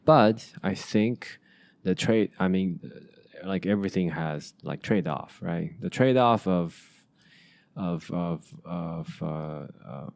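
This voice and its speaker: Low-voiced halting man. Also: gravelly, a little mellow, no echo. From Asia. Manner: halting, many restarts and fillers. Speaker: podcast host. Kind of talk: casual conversation.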